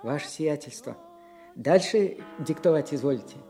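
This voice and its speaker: A humble village church scribe in a radio drama. His male voice sits high, and he speaks with devout, earnest deference in an old-fashioned churchly manner. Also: animated, normal speed, dark tone, faint music behind. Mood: eager, reverent. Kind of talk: Soviet radio drama acting.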